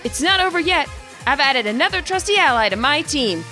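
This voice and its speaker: A woman voicing an eager, cocky teenage boy trainer in a game story, excitable and boastful. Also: high-pitched, fairly quick, soft background music, voice pushed up. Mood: eager, competitive.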